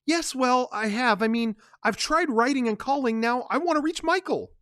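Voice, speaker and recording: Reader doing anxious young woman, high pleading voice, polite, insistent, dry sound. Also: normal speed, a bit bright, no room sound.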